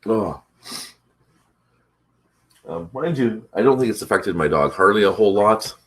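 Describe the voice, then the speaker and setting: A man hosting a casual morning chat, rambling with many fillers, his voice congested and a bit rough from allergies. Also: on the low side, lively intonation, unhurried. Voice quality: congested, slightly rough throat. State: stuffed up from allergies. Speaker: vlog host.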